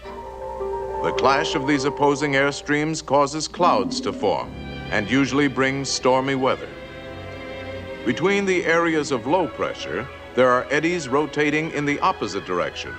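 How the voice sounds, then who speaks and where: A man narrating an animated science film in a scripted, theatrical storytelling style. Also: mid-range voice, measured pace. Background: music.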